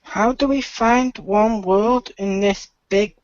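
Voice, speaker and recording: A woman's voice: mid-range voice, slow pace, a little mellow, no room sound, natural voice. A woman playing an eager, naive, friendly young adventurer in an improvised radio play, childlike and hesitant. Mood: curious, hesitant. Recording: dull lo-fi voice recording.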